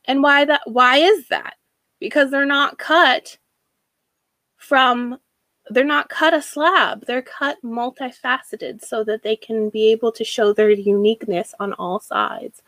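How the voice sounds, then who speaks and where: American woman, slightly high voice, unhurried and close-sounding. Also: fairly bright tone, dry recording. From Washington state, United States. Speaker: speaker sharing a personal story. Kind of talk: reflective personal monologue.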